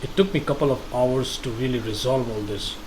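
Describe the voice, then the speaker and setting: A man giving casual gameplay commentary, thinking aloud with halting restarts. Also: medium pitch, background noise.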